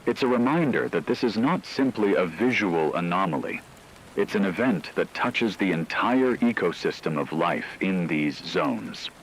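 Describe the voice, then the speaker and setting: A man playing a grave radio news anchor in an apocalypse drama, speaking in a measured, formal way. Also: sits low, animated, slight background noise, poor audio. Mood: grave, ominous. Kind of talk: scripted radio news bulletin.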